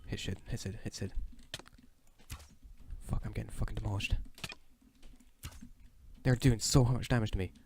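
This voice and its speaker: A man's voice: fairly low pitch, fairly even intonation, normal speed, gravelly, long pauses. A man giving casual, sweary gaming commentary, muttering and exclaiming as he plays. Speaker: video game player. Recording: faint keyboard clicking and noise. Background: computer keyboard typing and clicks.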